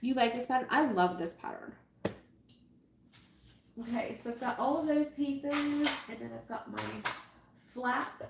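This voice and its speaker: Nasal stuffed-up woman, kinda high voice, chatting while she sews, echoey room w/ a little hiss. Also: unhurried, gravelly, long pauses. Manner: chatty, self-amused, greets viewers. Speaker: sewing livestream host. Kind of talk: casual live sewing tutorial chat. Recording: echoey room with faint noise.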